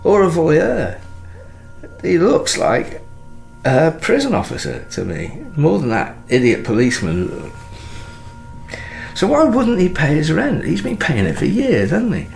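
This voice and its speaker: A man acting a drunk, anxious husband in a radio thriller, slurred and gravelly, rambling through halting questions and suspicions. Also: a little high, huge pitch swings, darkish tone, faint music behind, full character voice. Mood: drunk, incredulous. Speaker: actor in an audio drama.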